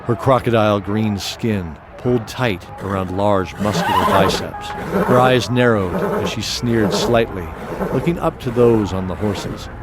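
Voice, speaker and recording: A man's voice: lowish voice, measured pace, very noisy. A man narrating a scripted fantasy story with dramatic, descriptive storytelling. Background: music.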